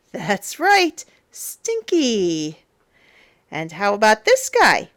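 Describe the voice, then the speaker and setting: A woman reading a children's picture book aloud as a storyteller, in a theatrical, playful, emphatic way with drawn-out words. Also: mid-range voice, huge pitch swings, a bit bright. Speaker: storyteller, teacher.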